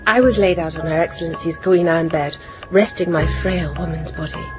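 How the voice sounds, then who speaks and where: Actress as plucky British housewife spy over music. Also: female voice, deep voice, moderate pace, natural voice. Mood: excited admiration. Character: brave English housewife turned spy, playful and eager. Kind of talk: radio drama acting with first-person narration.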